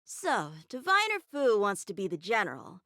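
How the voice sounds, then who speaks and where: A woman's voice: mid-range voice, huge pitch swings, moderate pace, a bit bright, dry recording, clean studio sound. A woman voicing an eager, earnest teenage boy swordsman in a game, talking in a boyish, cheerful way. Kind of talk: game character voice lines.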